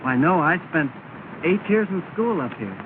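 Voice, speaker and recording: A courteous young visitor with a slightly raised voice and a mild, dry wit. The sound is tinny and full of hiss, as on an early sound film. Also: male voice, fairly high pitch, sing-song, moderate pace. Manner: courteous, mild, dryly humorous. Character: polite, good-natured young visitor.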